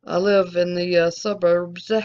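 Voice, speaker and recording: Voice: mid-range voice, little pitch movement, unhurried, a little mellow, dull audio. An adult card-channel host with a Southern Alabama accent, answering viewer questions in a candid, rambling way with restarts. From American South. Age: adult, collecting since the eighties. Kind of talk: casual Q&A to viewers.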